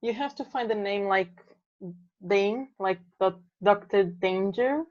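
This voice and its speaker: A woman's voice: mid-range voice, slow, a little mellow. Offhand woman on a dull call line. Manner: offhand, playful quips. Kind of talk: casual side remarks on a video call. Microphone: video call mic.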